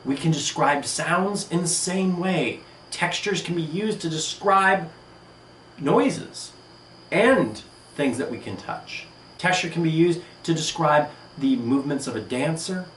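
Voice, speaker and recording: A man's voice: fairly high pitch, measured pace, slight background noise. A man, an art teacher, giving an enthusiastic video lesson in a room. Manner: animated, enthusiastic explaining. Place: indoor room with a table and a sandstone wall. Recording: roomy, distant mic.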